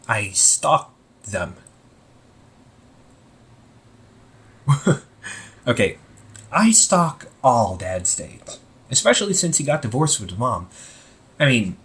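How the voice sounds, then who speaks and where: A man playing an anxious, wry young supervillain in a casual audio roleplay, talking informally with exasperated sighs and halting phrases. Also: mid-range voice, a bit bright. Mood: amused, sheepish. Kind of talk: amateur audio roleplay, casual conversational acting.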